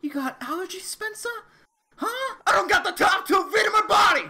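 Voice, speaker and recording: A man in a film fan dub playing an indignant, loud-mouthed athlete shrunk into a small sidekick's body. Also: extremely high pitch, lively intonation, brisk, vocal fry, background noise, heavily acted. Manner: indignant, repetitive, blustering. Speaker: amateur fan-dub voice actor.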